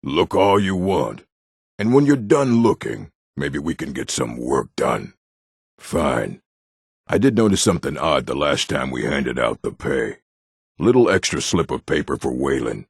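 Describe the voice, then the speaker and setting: An actor, a man, playing a surly, domineering orc with a gravelly, raspy voice and brusque delivery in a video game. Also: very deep voice, big pitch swings, measured pace, no room sound, studio quality, heavily acted. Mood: gruff, impatient. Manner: curt, brusque. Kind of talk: video game character acting.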